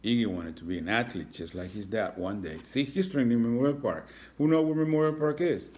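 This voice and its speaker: A man reading a children's story aloud as a teacher, pausing for reassuring, informal explanations to his young listeners. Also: mid-range voice, a little mellow.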